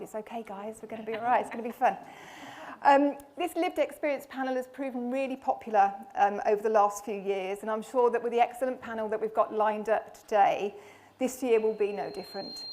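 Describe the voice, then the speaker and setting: A woman with a slightly high voice moderates a live conference panel. She sounds friendly and reassuring and adds light jokes between her introductions. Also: darkish tone. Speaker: panel host, charity deputy chief executive. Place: conference room with audience.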